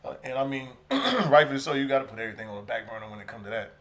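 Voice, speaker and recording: A man co-hosting a podcast in relaxed, rambling casual chat. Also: mid-range voice, racing, crowd noise. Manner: relaxed, rambling, many fillers. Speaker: podcast co-host.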